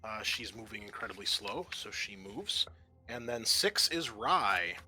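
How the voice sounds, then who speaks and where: A man's voice: lowish voice, very animated, a little slow, bright, forceful tone, slightly rough, dry recording, rough recording. A man narrating a tabletop roleplaying game as its game master, addressing the players.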